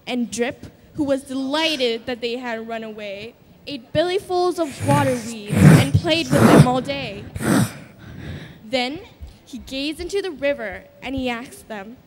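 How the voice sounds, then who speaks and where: A woman narrating a scripted children's story on stage in a deliberate, dramatic storytelling manner. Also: high-pitched, expressive, slight background noise.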